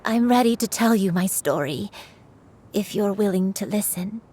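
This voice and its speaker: A woman's voice: medium pitch, expressive, moderate pace, warm tone, slight background noise, natural voice. An actress voicing an elderly grandmother who is secretly a crane spirit, speaking tenderly and with remorse. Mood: resolved. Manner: tender, sorrowful, confessional. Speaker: game character. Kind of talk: dubbed game dialogue.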